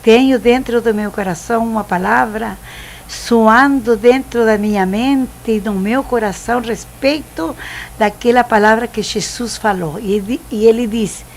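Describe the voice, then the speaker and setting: An older woman in her seventies speaks with a Chilean Spanish accent, giving a missionary's testimony in a fairly high voice. She talks slowly and haltingly, pausing on "eh" as she searches for words. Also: slight background noise. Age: 70s. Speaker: missionary guest. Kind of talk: preaching testimony.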